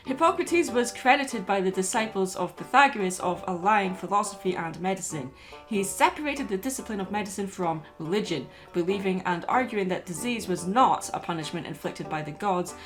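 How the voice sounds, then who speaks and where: A woman's voice: medium pitch, lively intonation, moderate pace, faint music behind. A woman delivering scripted commentary as the narrator of a video essay.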